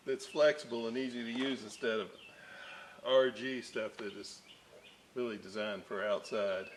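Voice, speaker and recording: Slow-talking man rambling at his workbench. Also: fairly low pitch, fairly bright tone, a little hiss or hum. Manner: rambling, many fillers and side stories. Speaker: electronics restoration hobbyist host. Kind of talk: casual workbench narration. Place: electronics workbench indoors.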